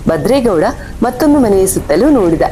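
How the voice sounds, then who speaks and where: A woman's voice: sing-song, brisk, dark tone. A woman reading scripted horror story narration as the storyteller. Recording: faint rumble and rain behind voice.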